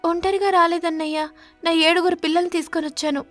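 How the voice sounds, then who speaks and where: A woman voicing a distressed, plaintive mother sparrow in an animated bird story. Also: extremely high pitch, fast talker, soft background music, pitched above the performer's own voice. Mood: sad, polite. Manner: plaintive, emotional.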